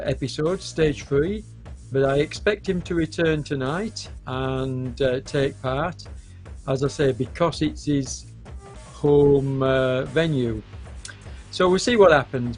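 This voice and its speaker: Hoarse male race commentator, slow and hesitant, faint music underneath. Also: on the high side. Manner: hesitant, many fillers, unhurried. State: hoarse with a cold, coughing.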